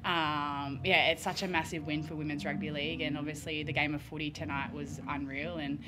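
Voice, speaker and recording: A woman's voice: low voice, flat delivery, fairly quick, background noise. A young Australian woman, a team captain, answering press questions casually with lots of fillers. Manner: casual, filler-heavy, plain-spoken. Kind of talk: post-match press conference answers. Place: press conference room with sponsor backdrop. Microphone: desk microphone.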